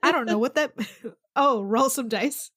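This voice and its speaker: Playful woman with a higher-pitched voice. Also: measured pace, a bit bright, slightly rough. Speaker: tabletop game player. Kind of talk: casual chat.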